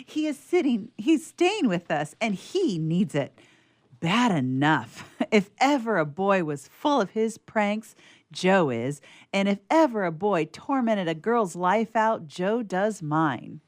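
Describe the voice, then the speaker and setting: A woman playing an eager, chatty, excitable schoolgirl in a radio theatre piece. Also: medium pitch, slow. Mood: excited, teasing. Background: harp underscoring.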